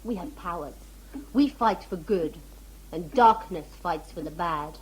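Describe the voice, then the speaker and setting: A woman's voice: lowish voice, lively intonation, unhurried, breathy edge, exaggerated voice. A woman playing an earnest, blunt savage huntress and devoted servant in a sci-fi audio drama, with formal, literal speech. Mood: earnest, proud. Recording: noisy amateur audio-drama tape.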